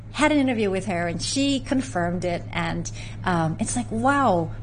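A woman's voice: mid-range voice, slight background noise, low-quality recording. A woman from San Francisco, a guest in an interview, telling family history in an earnest, storytelling way with frequent restarts. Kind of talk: conversational interview.

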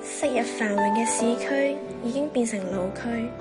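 A woman's voice: fairly high pitch, moderate pace, over loud music. A young woman with a Hong Kong Cantonese accent, reading a reflective scripted narration.

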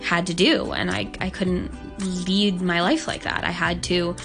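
A young woman from Hong Kong speaks earnestly and openly as an interview guest, her voice low for a woman and gravelly with fry. She leans on "like" a lot as she talks. Music plays behind her. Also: level tone, a little slow. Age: young adult. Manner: earnest, open, many "like" fillers. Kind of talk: casual interview talk.

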